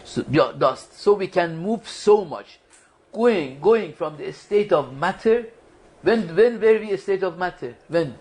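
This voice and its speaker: A man's voice: sits high, animated, unhurried, darkish tone. A man giving a religious study lecture to a small group, talking conversationally, quizzing the audience and often restarting his sentences. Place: lecture room at a community foundation.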